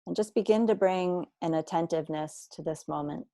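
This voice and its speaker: Low, mellow woman guiding meditation. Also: no echo. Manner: slow, deliberate, with long pauses; gentle, unhurried instructing. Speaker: meditation teacher. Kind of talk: guided body scan meditation.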